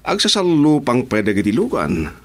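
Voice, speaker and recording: A man's voice: mid-range voice, wildly swooping pitch, moderate pace, a little hiss or hum. A man playing a brash, impatient henchman eager for a fight in a radio drama.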